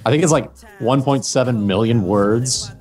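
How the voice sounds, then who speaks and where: A man's voice: mid-range voice, moderate pace, darkish tone, soft background music. Rambling American man on a call. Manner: rambling, with many fillers and restarts. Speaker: podcast guest, voice actor and fanfiction narrator. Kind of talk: casual group chat over a video call. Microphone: video call.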